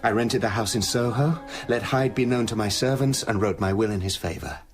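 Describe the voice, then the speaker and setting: An actor playing a tormented British gentleman scientist confessing his dark side in a brooding, literary radio drama narration. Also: lowish voice, brisk, soft background music, close to an everyday voice. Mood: confiding, darkly amused.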